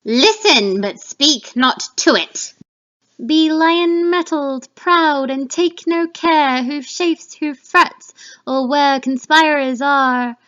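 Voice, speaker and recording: An eerie, commanding witch of Shakespearean tragedy, put on by a woman. The voice is high and bright, and it draws out each incantation very slowly and emphatically. The recording sounds somewhat dull. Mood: bold, prophetic. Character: witches and their prophetic apparitions, eerie and commanding. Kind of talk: dramatic reading of Shakespeare.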